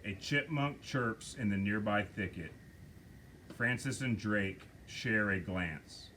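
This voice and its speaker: A man reading stage directions aloud at a script table reading, slow and measured. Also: fairly low pitch, sharp tone, slight background noise.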